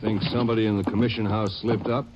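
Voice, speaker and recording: An actor playing a plain-spoken, questioning Texas Ranger sidekick in a 1950s radio drama. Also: male voice, on the low side, flat delivery, fast, close to an everyday voice. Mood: resigned, questioning. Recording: muffled, noisy 1950s radio recording.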